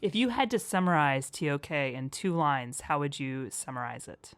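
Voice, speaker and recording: A woman teacher co-hosting a casual podcast, cheerful and quick to laugh. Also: low-pitched, very animated.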